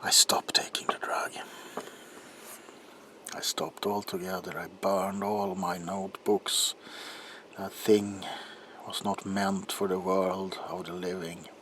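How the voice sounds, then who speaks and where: A man playing an anguished, guilt-ridden doctor confessing in an ASMR roleplay monologue, halting and intense, with a breathy voice that often drops into a whisper. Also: low voice, flat delivery, fairly bright tone, slight background noise. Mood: grim remorse. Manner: halting, haunted, intense, broken phrases.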